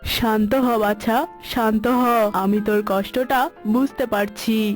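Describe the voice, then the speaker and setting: A woman's voice: fairly high pitch, a little mellow. A woman voicing a kindly, soothing mystic owl in a cartoon folk tale, speaking like an elder to a child. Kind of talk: cartoon voice acting.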